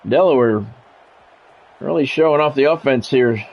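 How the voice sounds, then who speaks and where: A man's voice: medium pitch, normal speed, dull audio, slight background noise. A man doing casual sports game commentary, drifting between announcer-style play calls and conversational musing.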